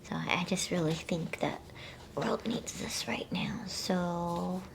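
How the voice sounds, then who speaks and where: Whispering, slow-paced woman doing ASMR. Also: on the low side, little pitch movement. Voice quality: breathy whisper. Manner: soothing, unhurried, hushed. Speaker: host of ASMR bedtime story channel. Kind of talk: ASMR whispered reading and chat.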